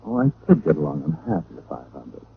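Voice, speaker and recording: An actor playing a wry, deadpan hard-boiled private detective in a 1940s-style radio drama. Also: male voice, rumbling low, quick pace, gravelly, slightly rough, heavily acted. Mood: teasing. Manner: deadpan, wisecracking. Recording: noisy, tinny old radio recording.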